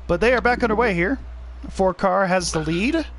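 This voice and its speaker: A man's voice: sits high, animated, moderate pace, a bit bright, slight background noise. A young man giving loose, chatty live commentary on a sim race and reading viewer comments aloud.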